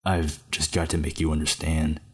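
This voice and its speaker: A man acts as a solemn older man in an audio drama. His voice is low and rough-edged, and it stays very level. He speaks with earnest, measured gentleness, like someone explaining hard truths. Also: little pitch movement. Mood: grave, earnest. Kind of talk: amateur audio drama acting.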